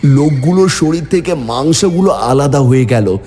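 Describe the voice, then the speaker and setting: Man as theatrical, animated horror-tale villager. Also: normal speed, soft background music, close to an everyday voice. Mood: grave narration. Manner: theatrical, rising into high pleading. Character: frightened, hungry village man telling his ghost tale. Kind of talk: dramatic first-person horror story narration.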